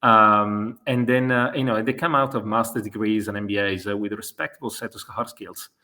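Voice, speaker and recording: A man's voice: fairly low pitch, flat delivery, brisk, sharp tone. A man in his forties from Italy with an Italian accent, answering interview questions hesitantly, with many fillers and self-corrections. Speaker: guest, e-commerce consultant.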